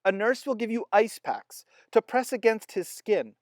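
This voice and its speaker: A calm, commanding nurse from a read-aloud storybook, clear and brisk, read aloud by the storyteller. Dry, close sound. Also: medium pitch, no echo, voice pushed up, natural voice. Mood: instructing.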